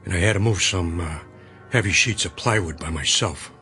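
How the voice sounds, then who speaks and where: A man's voice: low-pitched, moderate pace, bright, forceful tone, soft background music. A man acting in a radio drama as a plain-spoken, wry construction worker, laconic and casual. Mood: matter-of-fact, sore. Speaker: actor.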